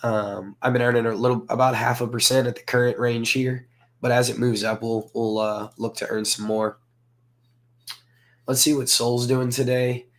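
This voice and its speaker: A hoarse man from the southern United States, hosting a casual livestream and chatting with viewers. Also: medium pitch, flat delivery, moderate pace. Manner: rambling, filler-heavy, greets chat viewers. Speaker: crypto livestream host.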